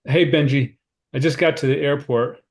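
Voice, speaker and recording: Male actor as anxious considerate cousin, medium pitch, earnest, fretful, everyday naturalistic delivery, script table read. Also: steady tone, normal speed, lightly acted. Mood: anxious, upbeat. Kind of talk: informal screenplay table read, naturalistic dialogue.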